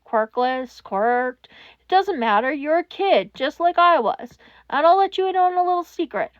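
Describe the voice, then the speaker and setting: Woman playing a gentle, high-voiced teenage boy hero. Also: animated, normal speed, no echo, highs cut off. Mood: reassuring. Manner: gentle, earnest, reassuring. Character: kind sixteen-year-old boy hero answering a crisis hotline.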